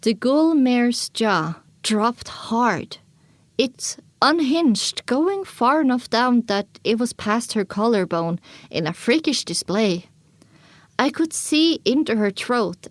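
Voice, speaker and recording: A woman's voice: fairly high pitch, expressive, slow pace, dry recording. A woman narrating an audio drama in the first person, with a dramatic scripted delivery.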